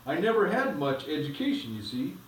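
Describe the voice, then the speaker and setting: A man's voice: on the high side, big pitch swings, brisk, a little hiss or hum, pitched above the performer's own voice. A man reading aloud, voicing a modest, honest cab driver with a Cockney accent, plain-spoken and bashful. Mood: humble. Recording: echoey room, from a distance.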